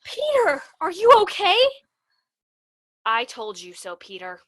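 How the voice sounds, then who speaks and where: A woman's voice: high voice, huge pitch swings, takes it slowly, fairly bright tone, heavily acted. A woman playing Wendy, a sensible, bossy girl who scolds and mothers the boys, in an amateur stage play. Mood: scolding. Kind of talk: amateur theatre acting.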